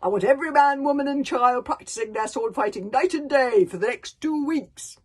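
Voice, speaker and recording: A woman voicing a hot-tempered male Viking chief who roars and shouts his orders. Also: deep voice, little pitch movement, normal speed, vocal fry, slightly rough, pitched below the performer's own voice, heavily acted. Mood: enraged. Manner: roaring, shouting.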